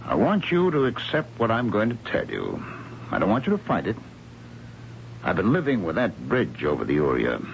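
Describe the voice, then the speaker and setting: A muffled, hissy vintage radio drama recording of a brooding middle-aged husband haunted by failure. His gravelly voice swings dramatically up and down as he speaks in grand, rhetorical phrases. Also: lowish voice, huge pitch swings, moderate pace, exaggerated voice. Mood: grave, pleading. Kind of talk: old-time radio drama acting.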